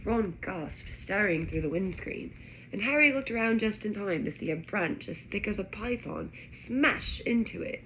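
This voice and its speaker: A woman reading a children's novel aloud in an expressive, dramatic narrating style. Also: medium pitch, moderate pace, low-quality recording. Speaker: storybook reader. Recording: faint background noise.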